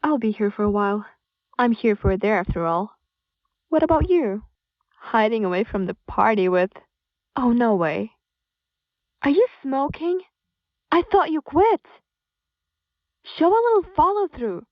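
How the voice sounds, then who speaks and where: A woman's voice: fairly high pitch, lively intonation, a little slow, long pauses, dry recording. A woman voicing a cheeky, nosy young girl who needles and teases, in a muffled lo-fi horror audio drama. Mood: teasing, mocking. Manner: teasing, needling.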